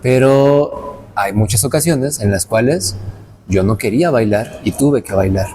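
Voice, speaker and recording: A man's voice: mid-range voice, expressive, measured pace, noisy background. A man in his late twenties from Veracruz, a dance teacher, talking reflectively and earnestly in a casual interview. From Veracruz, Mexico. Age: late 20s. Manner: reflective, earnest, with frequent restarts.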